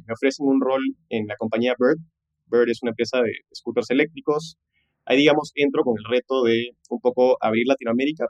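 A man's voice: medium pitch, a little mellow, no room sound, rough recording. A man, telling his career story conversationally as a podcast guest, dropping in English business jargon and frequent tag questions. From Peru. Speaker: podcast guest, tech entrepreneur.

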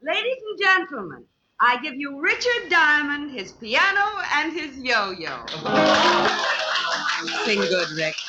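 A woman's voice: high-pitched, very animated, slow pace, long pauses, loud music behind the voice, heavily acted. An actress playing a detective's jealous, dryly teasing girlfriend in an arch 1940s radio style. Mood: announcing. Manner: arch, pointed. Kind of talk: 1940s radio drama acting. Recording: dull old radio, loud crowd behind.

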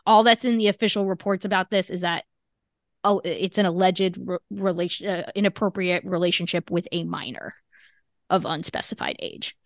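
A woman co-hosting a casual podcast, talking in a halting, measured way with many fillers and restarts. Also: fairly low pitch, fairly even intonation, no echo, studio quality. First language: English. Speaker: podcast co-host.

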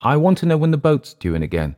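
Man putting on a bitter, raised-pitch drunken Englishman. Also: sing-song, fast, darkish tone. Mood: embarrassed. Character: bitter, drunken, volatile Englishman gone to seed.

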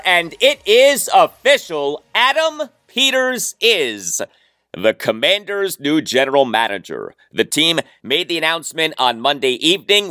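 A man's voice: a little high, pushed and bright, no echo, studio quality. An American man hosting a sports podcast, delivering commentary emphatically and stretching out words for effect.

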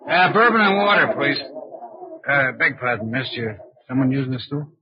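A man's voice: medium pitch, expressive, moderate pace, over music, close to an everyday voice. An actor playing a cocky, scheming con artist and killer in an old-time radio crime drama, talking in breezy tough-guy slang. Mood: low-key. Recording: muffled, noisy old radio drama recording.

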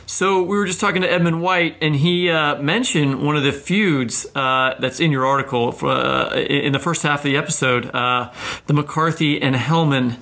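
Very bright-voiced man hosting. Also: a little high, steady tone, normal speed. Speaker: podcast host. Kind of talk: casual interview chat.

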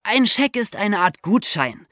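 A woman with a German accent tells a true-crime story as a podcast host, her pitch swinging widely as she goes. She keeps it casual, with wry asides and quick laughs. The sound is dry and close to the mic. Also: very animated, a bit bright, dry recording.

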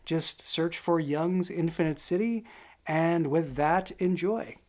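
A man's voice: on the high side, slow pace, very noisy. A man giving a casual, conversational podcast introduction as the creator of a show.

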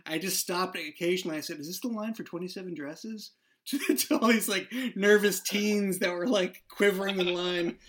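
A man with a somewhat high voice spins a comic story with lively timing. He keeps it loose and joking, like a friend at the table. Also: moderate pace. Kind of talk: casual interview chat.

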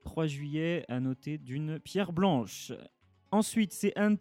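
Man, slightly high voice, casual game-news host, quick jokey asides. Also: animated, a little mellow, dry recording, soft background music. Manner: hands off segments, quick jokey interjections. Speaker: podcast host. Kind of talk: casual gaming news chat.

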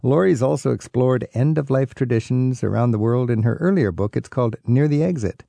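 A man's voice: medium pitch, a little fast, vocal fry, dark tone, no room sound. A man hosting a radio travel show, interviewing a guest in a casual, chatty, conversational way.

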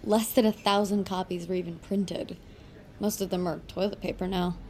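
A woman's voice: fairly low pitch, fairly even intonation, normal speed, a little mellow, natural voice. An actress playing a guarded, skeptical woman in an audio drama, dry, blunt and dismissive.

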